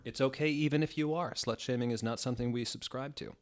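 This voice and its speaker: A man's voice: medium pitch, fairly even intonation, fast, dry recording. A man hosting a dramatic comedic reading, theatrical and wry, with casual asides. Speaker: host reading fan fiction aloud.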